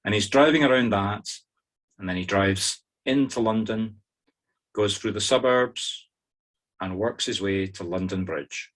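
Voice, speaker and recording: A Scottish-accented man guides a group through a meditation in a fairly low voice. He gives simple, informal instructions one step at a time. His delivery is conversational, with wry, humorous asides. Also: measured pace, fairly bright tone.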